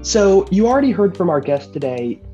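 A man hosting a podcast, talking in a relaxed, chatty way. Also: high-pitched, normal speed, dull audio, soft background music. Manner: relaxed, chatty, uses fillers. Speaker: podcast host. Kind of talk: casual podcast chat.

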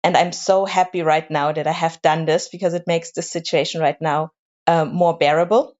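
Woman guest, lowish voice, casual and searching, lots of small restarts. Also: steady tone, moderate pace, darkish tone, muffled recording. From Germany. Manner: thoughtful, repeats words as she searches for them. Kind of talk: casual interview chat.

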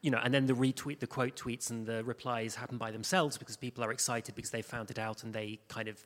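A man speaking informally as a panel guest, in a halting way with many restarts. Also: medium pitch, flat delivery, a bit bright. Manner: hesitant, halting, restarts often. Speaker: panel guest, audio drama producer. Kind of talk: informal spoken anecdote on a panel. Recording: echoey hall with long reverb.

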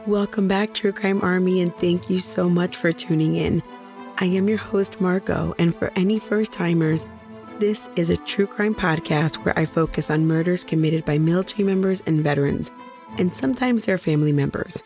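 A woman hosting a true crime podcast, hoarse after nearly losing her voice, chatting directly with her listeners. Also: lowish voice, normal speed, a little mellow, soft background music. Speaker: podcast host.

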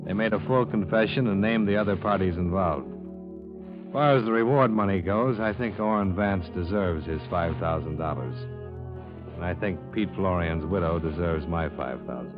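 An actor playing a tough, wry insurance investigator, narrating in a dry, clipped 1950s detective radio style. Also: male voice, low voice, moderate pace, close to an everyday voice. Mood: brisk, dry. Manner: dry, clipped, matter-of-fact. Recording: dull old radio recording with music.

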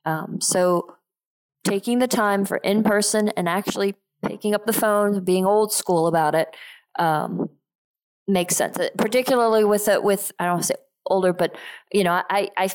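A woman's voice: mid-range voice, normal speed, rough recording. A woman in her thirties from Switzerland, talking casually as an interview guest. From Geneva, Switzerland. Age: 30s. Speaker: podcast guest, real estate investor.

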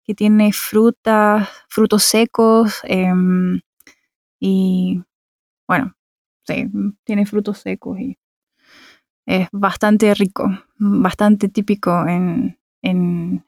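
A woman with a Chilean accent from Santiago, answering interview questions in an unhurried way with frequent filler words. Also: medium pitch, level tone, a little mellow, no echo, clean studio sound. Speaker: interview guest. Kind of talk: casual conversational answers.

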